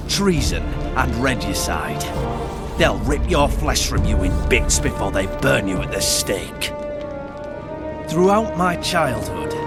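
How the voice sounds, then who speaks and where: A cold vengeful young king. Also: medium pitch. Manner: menacing, bitter, commanding. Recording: game audio with loud score.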